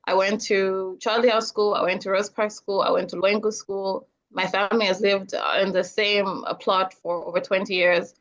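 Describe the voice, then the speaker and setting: A young adult woman from Zambia speaks as a podcast guest, with a medium-pitched voice. She talks earnestly and picks up energy when she recounts what others said to her. The recording sounds slightly dull and lo-fi. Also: level tone, moderate pace, few pauses. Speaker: filmmaker guest. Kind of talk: podcast interview.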